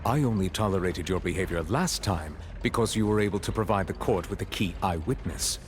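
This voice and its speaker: Stern male magistrate for an anime game, lowish, grave, exacting formality. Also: lively intonation. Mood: stern, composed. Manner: formal, measured.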